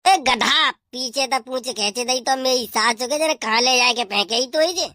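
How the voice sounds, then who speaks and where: Man voicing a shrill, bossy cartoon father bird. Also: normal speed, bright, forceful tone, no echo, studio quality. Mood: bossy, irritated. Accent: western Uttar Pradesh. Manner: scolding, rustic, repetitive cursing. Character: bossy, irritable father of a pigeon bridegroom. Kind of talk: comic cartoon dub.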